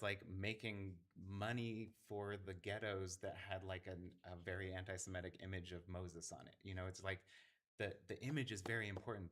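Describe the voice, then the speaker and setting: A man's voice: low-pitched, fairly bright tone. A man talking earnestly in a casual conversation, thinking aloud with fillers and restarts.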